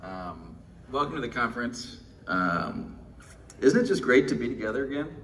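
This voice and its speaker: A man's voice: mid-range voice, big pitch swings, normal speed, a bit bright, recorded from a distance. A middle-aged man from Texas giving a wry, self-deprecating conference keynote, full of fillers. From Texas, USA. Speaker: keynote speaker at a pension conference. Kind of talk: live conference speech. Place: large windowless conference room. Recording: conference room, speaker away from the mic, faint room noise.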